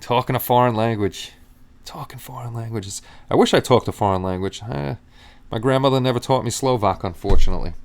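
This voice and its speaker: A man's voice: medium pitch, brisk. A middle-aged man hosting a casual live stream, rambling easily and chatting with his viewers.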